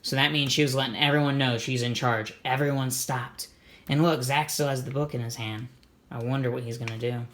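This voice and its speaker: Someone reading a kids storybook out loud, real expressive, stops to explain stuff and ask questions. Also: medium pitch, brisk. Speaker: storybook reader. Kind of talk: animated read-aloud with comments to children.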